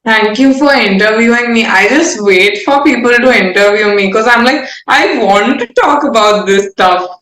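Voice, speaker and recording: A woman's voice: a little high, normal speed, hardly any pauses, fairly bright tone. Young Indian-accented woman in a roomy space. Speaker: singer-songwriter being interviewed. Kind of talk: casual interview conversation. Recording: roomy, echoing sound.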